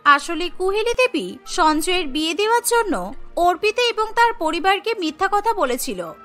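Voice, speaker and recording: A woman's voice: extremely high pitch, very animated, normal speed, fairly bright tone, faint music behind. A woman narrating a scripted Bengali cartoon story.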